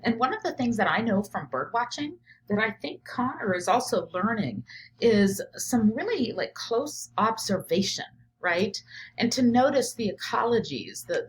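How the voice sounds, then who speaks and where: A woman's voice: mid-range voice, animated, moderate pace, a little hiss or hum, poor audio. A middle-aged woman with a mid-range voice speaks as a teacher doing a read-aloud. She moves between storybook narration and her own reflective commentary, working out her thoughts as she talks. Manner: reflective, thinking out loud, often drops to a murmur while noting ideas. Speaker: teacher, professor.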